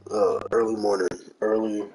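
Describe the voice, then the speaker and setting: A congested man chatting quietly at home early in the morning as he starts a game stream. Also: fairly low pitch, little pitch movement, normal speed, a little hiss or hum, rough recording. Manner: quiet, trying not to be loud. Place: home room.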